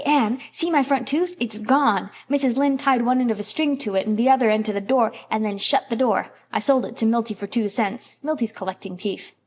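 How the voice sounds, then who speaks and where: Woman doing an excited little boy. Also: a little high, slightly rough. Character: excitable young farm boy, eager and boastful.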